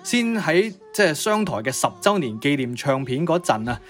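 A man's voice: a little high, sing-song, normal speed. A man with a Cantonese accent telling music history as a radio co-host, in a conversational, piecemeal way.

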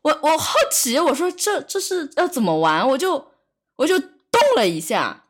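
A woman chatting casually on a podcast, explaining herself haltingly with repeated words. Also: sits high, sing-song. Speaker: podcast speaker. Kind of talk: casual chat.

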